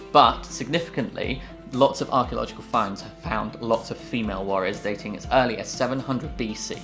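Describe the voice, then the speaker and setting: A man's voice: fairly low pitch, creaky. A British man co-hosting a reaction show in a casual chat, reading out facts in a dry, matter-of-fact way. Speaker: co-host of a reaction channel.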